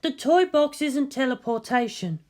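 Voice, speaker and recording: A narrator voicing a cool, matter-of-fact female scientist in an audiobook story, explaining in a measured way. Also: sits high, expressive, a little mellow, voice pushed up. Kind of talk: dramatic audiobook character voice.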